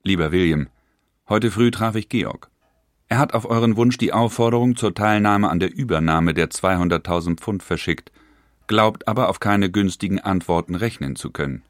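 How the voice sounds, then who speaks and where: An actor reading the letters of a cautious older industrialist brother, measured and worried. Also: male voice, sits low, no echo, studio quality, natural voice. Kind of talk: reading historical letters in a radio feature.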